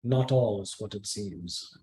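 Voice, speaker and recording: A man's voice: on the low side, slow pace, warm tone. A man playing a stern, commanding ranger in a fantasy table read, solemn and dramatic. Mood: grave.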